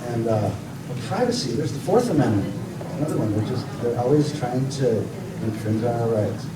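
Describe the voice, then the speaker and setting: A man running for state house speaks briefly and haltingly at a campaign rally. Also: mid-range voice, animated. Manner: halting, repeats words. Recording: distant mic in echoey room with loud background noise.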